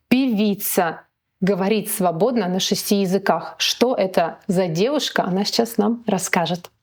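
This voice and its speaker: A woman hosting an interview, praising her guest and steering with questions. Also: mid-range voice. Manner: warm, complimentary, guiding questions. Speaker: host.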